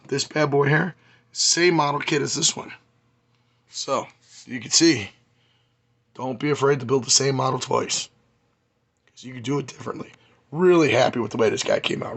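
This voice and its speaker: A man, hoarse and losing his voice, giving a rambling, enthusiastic hobby update on his model car builds. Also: mid-range voice, a bit bright. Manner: rambling, enthusiastic, many fillers.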